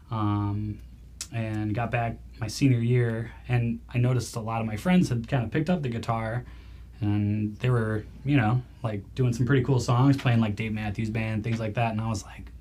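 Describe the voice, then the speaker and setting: A man's voice: fairly low pitch, a little mellow, a little hiss or hum. Easygoing man in his late thirties. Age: 30s. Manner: easygoing, modest, storytelling with fillers. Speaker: guitarist, band guest. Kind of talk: casual interview chat.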